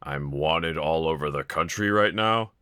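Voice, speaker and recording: A very low-pitched, sardonic villain, acted out by a man in an intimate audio roleplay. He drawls casually and teasingly, with a bright, edgy tone and a quiet vulnerability underneath. The sound is close and dry. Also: measured pace, clean tone, no echo, exaggerated voice. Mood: incredulous, sardonic. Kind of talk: fan audio roleplay, intimate one-sided dialogue.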